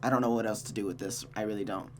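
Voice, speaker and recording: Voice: medium pitch, fairly even intonation, rapid-fire. A game streamer reading a visual novel aloud and chatting casually about it, voicing the characters along the way.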